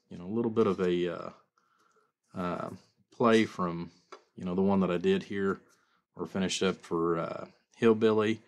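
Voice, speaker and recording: A man giving a casual, rambling workbench demonstration in a folksy, informal way, like a builder showing his work. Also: sits low. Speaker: custom amplifier builder presenting his work.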